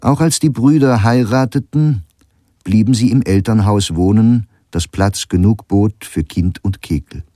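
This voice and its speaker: A man narrating a scripted documentary as a storyteller, measured and factual. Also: lowish voice, mellow, no room sound, studio quality. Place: dry studio.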